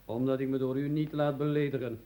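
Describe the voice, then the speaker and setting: An actor playing a touchy, formal man who is quick to take offence in a radio play. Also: medium pitch, steady tone, dark tone, lightly acted. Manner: indignant, formal and officious. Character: stiff, touchy man named Kwartel.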